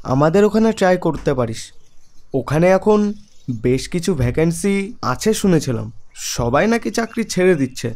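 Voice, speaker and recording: A man playing a worn-out young software worker. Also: on the high side, animated, moderate pace. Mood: weary. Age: young adult. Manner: weary, friendly.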